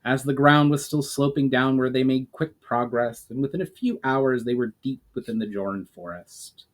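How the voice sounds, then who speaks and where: A man's voice: mid-range voice, steady tone, moderate pace. A man giving a dramatic storybook narration, reading as the author of the tale. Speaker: author reading his own book.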